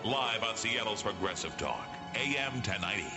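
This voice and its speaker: A man's voice: lowish voice, big pitch swings, normal speed. A man reading a scripted radio station promo as announcer. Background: loud music.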